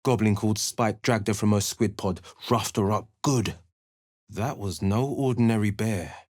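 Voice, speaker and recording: An actor playing a young male warlock hero in a video game, speaking earnest, crisp one-liners on a close studio mic. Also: on the low side, a little mellow, no echo.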